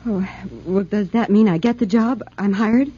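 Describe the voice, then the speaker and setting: An actress playing a plucky, determined young woman investigator, brisk and self-assured, in 1940s radio drama style. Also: medium pitch, mellow, husky, natural voice. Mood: polite, probing. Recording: muffled 1940s radio recording.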